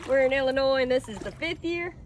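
A woman's voice: high voice, fast talker. A young woman, chatting and laughing while standing in a lake, filmed from a nearby boat. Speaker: vlog host. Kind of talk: casual outdoor chat. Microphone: camera on a boat nearby. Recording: loud water and wind noise.

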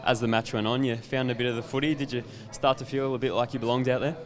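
A man works as the club interviewer, firing off quick, brisk questions. He holds a handheld mic out to the player. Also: mid-range voice, flat delivery. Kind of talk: post-match interview questions. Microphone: handheld microphone.

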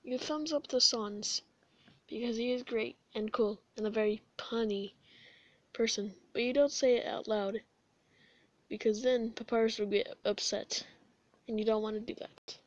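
A woman's voice: a little high, steady tone, normal speed, no echo, dull audio. A woman casually narrating a comic between character lines.

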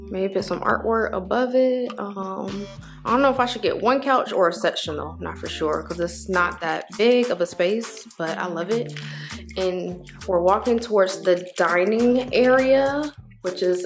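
A young woman gives an excited, chatty tour of her empty new apartment while holding her phone to film it. Her voice is mid-pitched, and it drifts nearer and farther from the phone as she walks between rooms. Clear music plays underneath. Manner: gushing, chatty, walking while filming. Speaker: vlogger. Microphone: handheld phone camera.

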